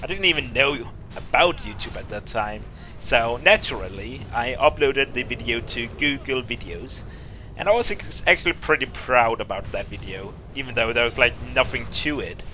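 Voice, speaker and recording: Bright-voiced Danish man in his twenties. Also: medium pitch, a little hiss or hum. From Denmark. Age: 20s. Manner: rambling, frequent fillers and restarts. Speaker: YouTuber. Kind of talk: casual Q&A vlog.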